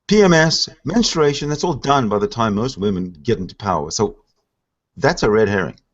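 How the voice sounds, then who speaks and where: A Canadian man hosting a casual panel talk, opinionated and animated, often stammering and restarting. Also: medium pitch, moderate pace. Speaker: host. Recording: dull lo-fi audio.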